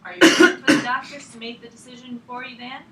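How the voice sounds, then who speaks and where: A woman's voice: high voice, level tone, fast talker, bright, forceful tone. A woman acting a patient, deferential official who keeps questioning a sick patient in a drama table read. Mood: polite, insistent. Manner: polite, deferential, insistent. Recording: echoey room, distant mic, noisy background.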